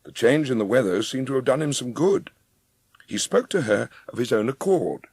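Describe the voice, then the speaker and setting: Low husky guy reading a story, lots of expression, dry sound, faint music under. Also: lively intonation, moderate pace, darkish tone, no echo. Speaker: narrator. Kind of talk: scripted audiobook storytelling.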